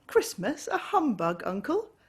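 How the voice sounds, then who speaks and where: A woman voicing a cheerful, warm-hearted young man who speaks brightly and pleads gently. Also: a little high, sing-song, a little slow, pitched above the performer's own voice.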